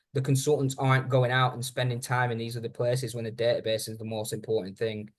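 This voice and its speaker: A man's voice: mid-range voice, darkish tone. Man presenting an informal training webinar. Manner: informal, addresses listeners directly. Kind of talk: conversational software training presentation.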